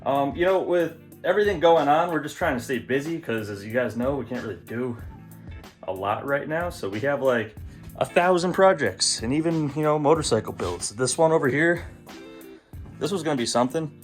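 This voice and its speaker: A man's voice: on the high side, expressive, moderate pace, faint music behind. A man hosting a vlog, chatting casually to camera in a slangy, self-deprecating, sweary way. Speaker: vlog host. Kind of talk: casual chat to camera about car and bike builds.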